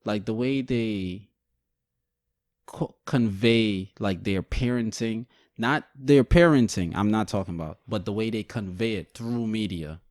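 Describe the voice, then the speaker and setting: A man's voice: on the low side, measured pace, a little mellow, dry recording, studio quality. A young man from Guyana co-hosting a podcast, blunt and joking as he poses questions in casual chat. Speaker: podcast co-host.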